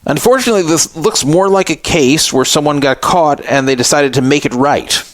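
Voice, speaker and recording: A man's voice: a little high, moderate pace, dry recording. Sarcastic male talk-radio host. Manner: sarcastic, scoffing at a statement he reads aloud. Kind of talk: opinionated talk radio commentary.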